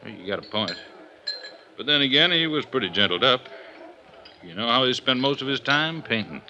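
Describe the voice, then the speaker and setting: An actor playing a gruff, world-weary police inspector in a 1950s radio detective drama, blunt and folksy. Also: male voice, mid-range voice, lively intonation, moderate pace, bright, forceful tone. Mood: reasoning, blunt. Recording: dull, noisy vintage 1950s radio recording.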